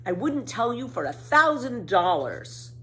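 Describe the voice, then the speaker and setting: Casting: woman as mischievous, fearless little girl from a storybook, breezy delivery, animated swoops, some background noise. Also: on the high side, a little slow, pitched above the performer's own voice. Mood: playful, firm. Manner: breezy, matter-of-fact, exclaiming. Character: bold, carefree, mischievous young girl, storybook heroine. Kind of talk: storybook character voice.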